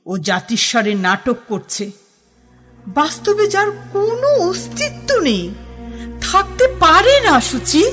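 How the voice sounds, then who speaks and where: A woman's voice: very high-pitched, sing-song, takes it slowly, fairly bright tone, soft background music, heavily acted. An actress playing a protective older colleague who firmly warns and pleads with a younger woman in a radio drama. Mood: warning. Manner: firm, pleading, warning.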